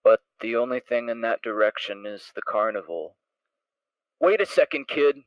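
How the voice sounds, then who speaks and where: A man voicing a laid-back adult male pony trader who talks in a relaxed, easygoing way. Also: medium pitch, moderate pace, smooth, no room sound, muffled recording, close to an everyday voice.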